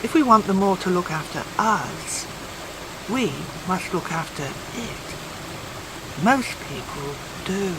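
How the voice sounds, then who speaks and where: A woman reading a personal essay aloud as scripted narration, with measured, deliberate phrasing. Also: sits low, heavy background noise. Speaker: volunteer reader.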